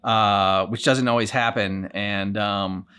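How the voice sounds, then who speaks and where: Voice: sits low, steady tone, slow, fairly bright tone, clear, resonant tone. A reaction channel co-host casually discussing a video game in a loose, wry, meandering way.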